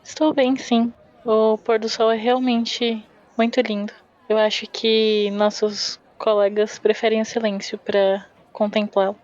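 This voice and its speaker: Reserved, determined adventurer woman for tabletop roleplay, acted by a woman; highish voice, terse, muffled lo-fi recording. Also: little pitch movement, measured pace, darkish tone, natural voice. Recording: dull, lo-fi voice recording.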